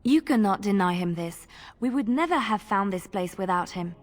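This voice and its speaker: Woman acting a gentle, earnest female companion. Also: medium pitch, lively intonation, normal speed, soft background music, lightly acted. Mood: gently insistent. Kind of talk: video game acting.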